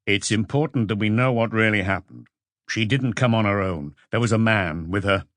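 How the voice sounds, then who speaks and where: A man's voice: lowish voice, normal speed, fairly bright tone, dry recording, studio quality, close to an everyday voice. A man voicing a persistent police chief questioning suspects bluntly in audiobook dialogue. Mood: probing, insistent. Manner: blunt, probing.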